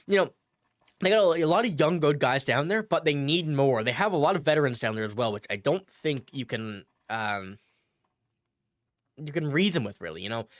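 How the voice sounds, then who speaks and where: A man's voice: a little high, expressive, normal speed, dry recording, studio quality. A man hosting a casual livestream, chatting and answering viewer comments. He is a bit sick, so he sounds slightly nasal. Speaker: sports channel host.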